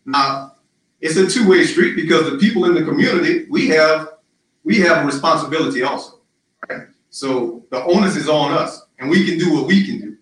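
A man running for city council, answering interview questions in a loud, emphatic, rallying way with lots of repetition. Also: on the high side, normal speed, a little hiss or hum. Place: live-streamed social media forum. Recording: very echoey, distant mic.

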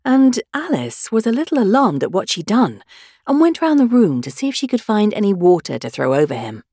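Animated, slightly husky woman storyteller. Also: medium pitch, a little fast, dry recording, studio quality. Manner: animated, dramatic. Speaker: audiobook narrator. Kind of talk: expressive children's storybook narration.

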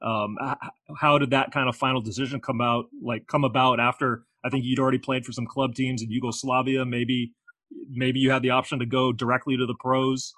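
A man's voice: mid-range voice, fairly quick, no echo. A man hosting an interview in a casual way, asking long, rambling questions. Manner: relaxed, affirming, asks rambling questions.

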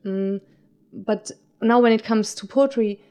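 Mellow-voiced German-speaking woman poet. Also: a little high, unhurried, smooth. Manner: searching for words, many filler likes, earnest. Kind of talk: casual conversation.

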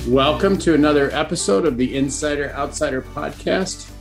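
A man's voice: a little high, normal speed, creaky, soft background music. A man hosting a podcast, opening the show and inviting guests to speak in a loose, conversational way. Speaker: podcast host. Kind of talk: podcast hosting, intro and moderating.

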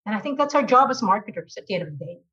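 A woman's voice: mid-range voice, expressive, very fast, a bit bright. A middle-aged woman from the Philippines talks through her career in an earnest, emphatic way. She leans on fillers as she gathers her thoughts. The audio is a little dull. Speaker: guest, marketing executive. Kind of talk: reflective interview answers.

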